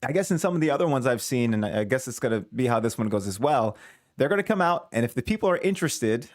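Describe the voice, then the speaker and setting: A man gives casual commentary on a dating show, wandering through his thoughts and correcting himself with small chuckles. His pitch is ordinary for a man. The sound is dry and close, with no room echo. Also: animated, fast talker. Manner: rambling, self-correcting, chuckling asides. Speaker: reaction video host.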